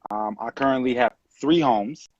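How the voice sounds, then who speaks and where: A man in his late twenties from California, talking casually as an interview guest on a video call, relaxed and rambling with many fillers. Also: medium pitch, moderate pace, no echo. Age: late 20s. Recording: dull dry video-call audio.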